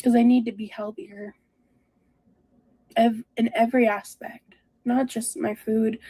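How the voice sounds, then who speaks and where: Mellow, hesitant woman, dry sound. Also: a little high, flat delivery, breathy edge, dry recording. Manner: hesitant, halting, introspective. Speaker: vlogger. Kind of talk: confessional personal talk.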